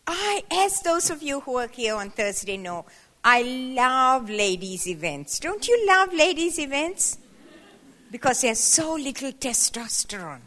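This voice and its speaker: Woman, 70s, guest speaker at a women's church event; higher voice, witty, conversational, hall reverb. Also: fairly bright tone. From Sri Lanka. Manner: playful, conversational, rising emphatic asides.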